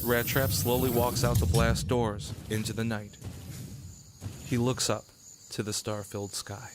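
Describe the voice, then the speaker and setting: A man's voice: medium pitch, little pitch movement, measured pace, noisy background. A man narrating a scripted action story in the present tense for an audio drama.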